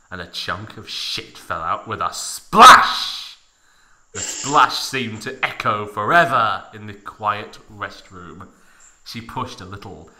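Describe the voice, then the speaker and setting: A man's voice: lowish voice, bright, forceful tone, low-quality recording. A man narrating a comic dramatic reading in a mock-serious, theatrical manner with heavy emphasis.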